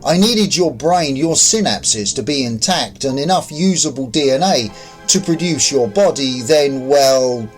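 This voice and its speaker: A man's voice: mid-range voice, animated, measured pace, soft background music, lightly acted. A man voicing an ancient, patient otherworldly visitor who explains things in a sci-fi audio story. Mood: explaining.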